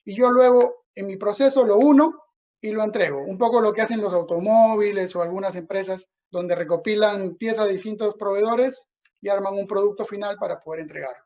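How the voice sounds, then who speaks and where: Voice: mid-range voice, brisk, a little mellow. Peruvian business lecturer, dull lo-fi audio. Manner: didactic, checks understanding with short tag questions. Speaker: webinar presenter, lecturer.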